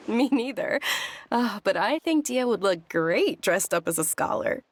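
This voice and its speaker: A polite young noblewoman in an anime game. She has a fairly high, gentle voice and a courteous, sincere delivery, with faint noise underneath. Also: animated, unhurried. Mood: amused, friendly. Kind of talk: English anime game dub acting.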